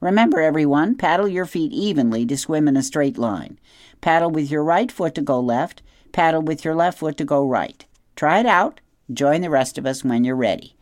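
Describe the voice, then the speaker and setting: A man voicing a cheerful, bossy old female goose in an audiobook, speaking in a bright, chatty, instructive way. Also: a little high, lively intonation, moderate pace, no echo, studio quality, voice pushed up, close to an everyday voice. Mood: encouraging. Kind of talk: audiobook character voice.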